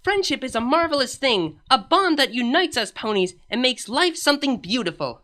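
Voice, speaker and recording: A woman's voice: high-pitched, big pitch swings, normal speed, pitched above the performer's own voice. A woman voicing a cheerful, zealous young female pony princess in a storybook comedy, with an eager, emphatic manner. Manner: bright, eager, emphatic delivery.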